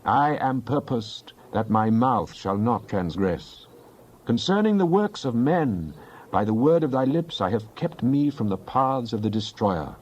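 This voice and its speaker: A man playing a devout psalmist in a dramatized Bible reading, praying in an earnest, deliberate, declamatory way. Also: medium pitch, lively intonation, a little mellow, lo-fi sound. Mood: pleading, prayerful. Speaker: scripture reader.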